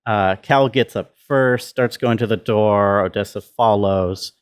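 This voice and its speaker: A man's voice: on the low side, unhurried, clear, resonant tone. A man giving a casual tutorial as a sound designer, explaining step by step and checking in with the listener.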